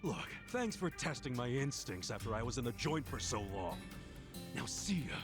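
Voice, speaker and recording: A man's voice: medium pitch, sing-song, normal speed, music in the background, natural voice. A man voicing a brash, earnest ex-convict yakuza in an English video game dub, speaking bluntly and informally. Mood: brisk, determined.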